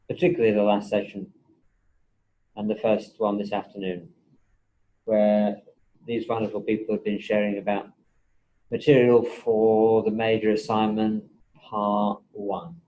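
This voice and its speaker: Relaxed, chatty male lecturer. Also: lowish voice, highs cut off. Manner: relaxed, chatty, joking asides. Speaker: university lecturer. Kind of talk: classroom session recorded for online students. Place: college classroom. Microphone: table mic in the room.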